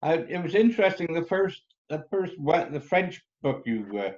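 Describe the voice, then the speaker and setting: A man joining a video-call lecture, speaking in a chatty, halting way. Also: fairly high pitch, lively intonation, highs cut off. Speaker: lecture participant. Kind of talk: casual discussion.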